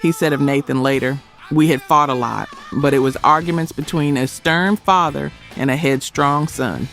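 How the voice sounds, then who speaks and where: A man with a slightly high voice narrates a music documentary from a script. He lands short phrases with dramatic emphasis, and music plays faintly behind him. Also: normal speed, gravelly, a bit bright. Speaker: narrator of a music history video.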